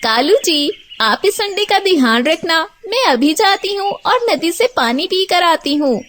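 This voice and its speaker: A woman's voice: very high voice, unhurried, full character voice. A woman voicing a caring, outspoken, motherly female crow in a children's cartoon, with an earnest manner. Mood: reassuring. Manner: animated, earnest. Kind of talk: Hindi children's cartoon voice acting.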